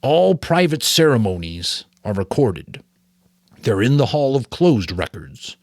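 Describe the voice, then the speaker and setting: A man's voice: medium pitch, big pitch swings, a little slow, a little mellow, dry recording, clean studio sound. A man voicing a stern old mentor in a storybook, firm and commanding.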